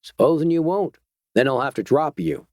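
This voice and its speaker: A man's voice: on the high side, sing-song, normal speed, a little mellow, dry recording, studio quality, voice pushed up. A man voicing a patient, menacing rural male vigilante with a twangy Georgia accent, in an audiobook. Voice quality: twangy, drawling, sniffing.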